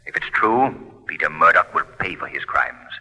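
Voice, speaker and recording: A deep, rough-edged male avenger, speaks with stern, ominous deliberation. The voice is forceful and theatrical, swimming in reverb on an old drama recording. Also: lively intonation, normal speed, heavily acted. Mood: probing. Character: The Shadow, mysterious invisible male avenger, stern and commanding. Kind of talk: 1940s radio drama acting. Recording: muffled vintage radio recording, long reverb.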